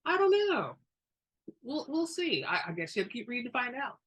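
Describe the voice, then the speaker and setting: A woman narrating a story in an expressive, theatrical way, as the reader and host. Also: fairly high pitch, a little fast, slightly rough. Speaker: book reader and channel host. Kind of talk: dramatic audiobook narration.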